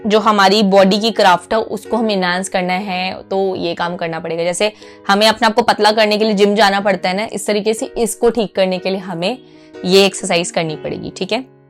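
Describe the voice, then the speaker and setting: An Indian woman speaks as an anchor coaching her viewers, in a mid-pitched voice. She is informal and emphatic, repeating key phrases and often checking that listeners follow. Faint music plays behind her. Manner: mixes Hindi with English phrases. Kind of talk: informal instructional video talk to viewers.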